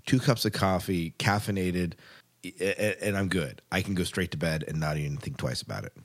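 A man's voice: low-pitched, normal speed. A man co-hosting a podcast in a casual, informal way, often rephrasing himself as he chats. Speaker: podcast co-host.

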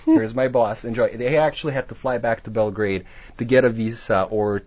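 A man from Serbia on a podcast panel, telling a travel story in casual chat and getting loud when he quotes people. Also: medium pitch, fairly even intonation, normal speed, no room sound, muffled recording.

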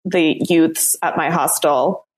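A woman's voice: low-pitched, fairly even intonation, slow, poor audio. Giggly woman co-hosting a podcast. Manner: giggly and playful. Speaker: podcast co-host. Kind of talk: casual podcast chat.

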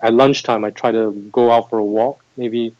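A man's voice: medium pitch, fairly even intonation, brisk, a little mellow, no echo. Thoughtful Singapore-raised man, muffled sound. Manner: thoughtful, many restarts and fillers. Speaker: MBA admissions head, guest. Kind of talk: interview conversation.

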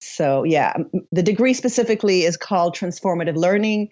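A woman's voice: lowish voice, lively intonation, brisk, a little mellow, highs cut off. A woman in her fifties from Israel with a slight Israeli accent, a podcast guest who tells her story reflectively, with restarts and fillers. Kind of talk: podcast interview.

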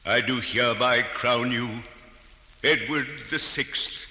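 An actor playing a stately archbishop, intoning ceremonially in an old-time radio drama. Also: male voice, medium pitch, takes it slowly, bright, forceful tone. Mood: solemn. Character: solemn, stately archbishop crowning a king. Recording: echoey old radio recording, long reverb.

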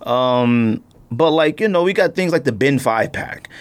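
Animated American man, very lively pitch. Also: a little slow, clean tone. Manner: animated, slangy, emphatic. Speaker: podcast host. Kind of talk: casual chat about sneakers.

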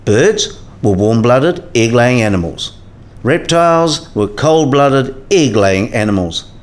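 Man acting as scholarly professor, mid-pitched voice, patient, didactic, explanatory, radio play dialogue. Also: expressive, slow. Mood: explaining.

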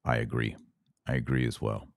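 Male podcast host, very low voice, dry close sound, earnest and conversational, turns indignant. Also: level tone, moderate pace, creaky, dry recording. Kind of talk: livestream podcast reading viewer comments.

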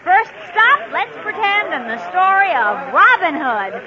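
A woman's voice: extremely high pitch, very animated, measured pace. A female performer announcing eagerly and theatrically on a 1950s children's radio show. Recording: dull, noisy old radio, crowd behind.

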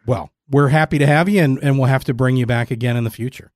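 A man's voice: medium pitch, quick pace, a little mellow, dry recording. American man hosting, very dry close sound. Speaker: podcast host and interviewer. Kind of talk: relaxed podcast interview talk.

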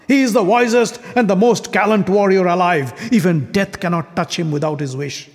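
A man voicing an arrogant, furious king who hurls scornful insults and shouts in defiance. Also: sits high, pitched above the performer's own voice. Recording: long hall reverb.

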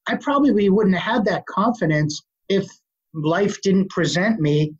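A middle-aged speaker with a Boston accent, answering an interview question in a confident, forceful, passionate way. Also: medium pitch, expressive, a little slow, breathy edge. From Boston, USA. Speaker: guest, health advocate. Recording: dull lo-fi recording.

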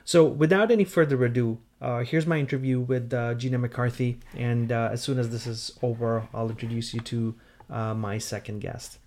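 A male podcast host with a mid-pitched voice talks in a conversational way. He pauses often and feels his way through his phrases as he presents his show. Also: fairly even intonation, darkish tone. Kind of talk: conversational podcast hosting, introducing guests.

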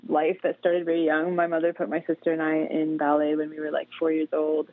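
A woman from Canada, a dancer and doctoral student, answering interview questions chattily and filling pauses with "you know" and "like.". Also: low-pitched, completely flat delivery, dry recording. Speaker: guest, dancer and PhD student. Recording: tinny phone-like line, dry.

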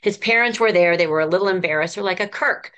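A woman in her fifties speaks as a podcast guest, with a slightly low voice. She tells her stories with earnest, eager warmth of conviction. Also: fast, smooth. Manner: earnest, enthusiastic storytelling. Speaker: children's ministry professor, guest. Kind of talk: podcast conversation.

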